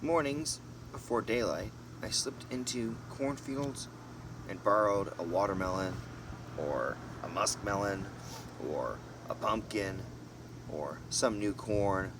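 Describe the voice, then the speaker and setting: A man narrating a storybook as an amateur reader, expressively, in short halting phrases with casual asides. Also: lowish voice. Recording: noisy, distant mic, vehicle-like background hum.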